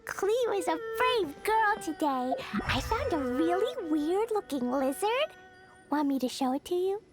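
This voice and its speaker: A woman's voice: very high-pitched, sing-song, unhurried, heavily acted. A woman voicing a playful, brave little girl in a video game, with a childlike voice. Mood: playful, proud. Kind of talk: video game character acting. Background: game music.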